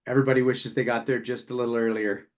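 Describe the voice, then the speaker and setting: A man's voice: mid-range voice, little pitch movement, quick pace. A man hosting a podcast, asking his guests questions in casual conversation. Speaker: podcast host. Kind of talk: casual interviewing.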